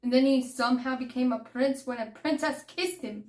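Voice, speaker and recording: A woman's voice: high voice, moderate pace, a little mellow, natural voice. A woman playing a young fairy pupil in a fantasy roleplay, cheeky, skeptical and argumentative, in a roomy, echoing recording. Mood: cheeky, doubting. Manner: pert, questioning.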